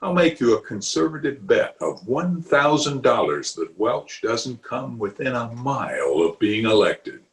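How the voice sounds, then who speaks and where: A man's voice: mid-range voice, very animated, a little slow, hardly any pauses. A man playing a blustering, boastful middle-aged town official in an old-time radio comedy, theatrical in manner. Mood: scornful, boastful. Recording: roomy video-call recording.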